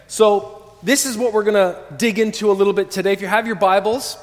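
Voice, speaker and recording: A man in his forties with a high voice preaches earnestly to his congregation. He calls on them to answer back, and his words ring with the long reverb of a church hall. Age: 40s. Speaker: pastor. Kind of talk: sermon.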